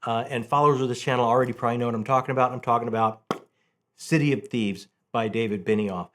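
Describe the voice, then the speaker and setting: A man's voice: mid-range voice, fairly even intonation, fast talker. A middle-aged man chatting casually and enthusiastically about books as a channel host, repeating phrases for emphasis. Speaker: host of a book review channel.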